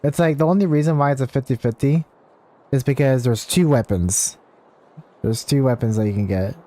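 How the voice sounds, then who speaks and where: A man's voice: medium pitch, moderate pace, warm tone. A man live streaming a video game, chatting casually and rambling as he thinks aloud. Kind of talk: casual gameplay livestream chat, reading game text aloud. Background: game music.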